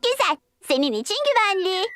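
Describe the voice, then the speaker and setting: High-pitched, excitable little girl pig. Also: a little fast, loud music behind the voice. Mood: bossy, eager. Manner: childlike, exclaiming, eager. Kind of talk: children's cartoon dub acting.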